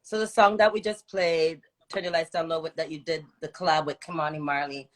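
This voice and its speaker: A woman's voice: deep voice, steady tone, brisk. A woman hosting a casual live music interview on a radio show, repeating her phrases and interjecting encouragement.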